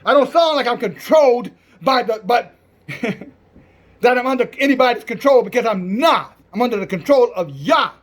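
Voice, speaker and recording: A man preaching in a strong, loud voice, reading scripture and commenting on it in a declamatory, defiant manner. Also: extremely high pitch, animated, normal speed. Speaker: Hebrew Israelite preacher.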